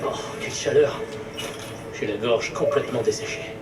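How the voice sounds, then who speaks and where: A man's voice: medium pitch, normal speed, loud music behind the voice. Man, indignant game dialogue, roomy sound, distant from the mic.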